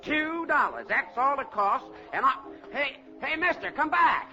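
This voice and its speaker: Glib carnival pitchman, small-time crook, sharp forceful voice, old radio crime drama, dull recording. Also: expressive, unhurried, faint music behind, full character voice. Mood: hustling. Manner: glib, slangy sales patter.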